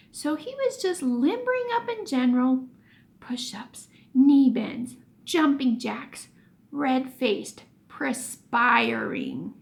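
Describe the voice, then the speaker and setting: A woman teacher reading a children's story aloud expressively, pausing for emphasis and explaining things as she goes. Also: high-pitched, creaky.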